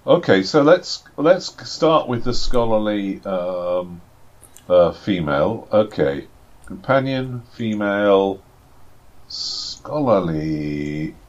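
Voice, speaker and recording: A man's voice: lowish voice, lively intonation, slow. A man running a tabletop game who talks casually, with many restarts and fillers and dry, self-deprecating humour. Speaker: game master and podcast co-host. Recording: slightly dull lo-fi home recording.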